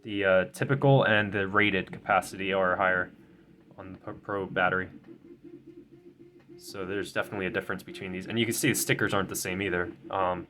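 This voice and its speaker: A man's voice: deep voice, steady tone, brisk, bright, forceful tone. A young man, tired and a bit stuffy, chatting casually and rambling with many restarts while unboxing a phone at his desk. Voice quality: slightly nasal. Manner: rambling, self-correcting, many ums and stumbles. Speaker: tech video creator. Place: desk at home, indoors.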